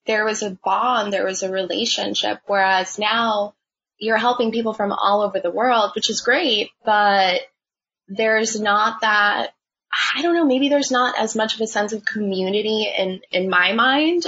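A young woman who works as a budtender, answering interview questions in a relaxed, chatty way with lots of fillers. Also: medium pitch, gravelly, slightly rough. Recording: dull lo-fi sound.

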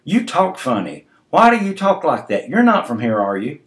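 Man acting a loud, blunt restaurant boss. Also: fairly high pitch, huge pitch swings, moderate pace, voice pushed up. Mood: leering, blunt. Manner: hammering, blunt, shouts in one tone.

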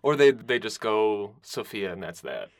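A man with a fairly low voice interviews a guest on a podcast. He asks long, thoughtful questions with small restarts. The sound is dry and close, with no room around it. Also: lively intonation, unhurried, no echo.

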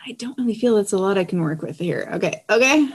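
Woman, role-playing game player, mid-pitched voice, casual talk, describing her character's moves. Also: lively intonation, quick pace, slightly rough.

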